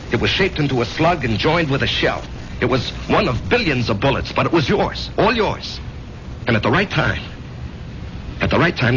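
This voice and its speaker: An actor playing an overworked, self-doubting salesman and war veteran in a 1970s radio drama, with an intense, halting delivery that repeats phrases. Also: mid-range voice, a bit bright, natural voice. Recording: noisy, muffled 1970s radio drama recording.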